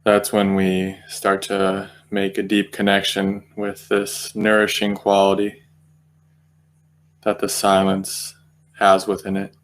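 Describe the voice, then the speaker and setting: Low, very even-toned man guiding meditation. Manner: gentle, slow, meandering with long pauses. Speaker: guided meditation teacher. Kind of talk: guided meditation, unscripted spiritual talk.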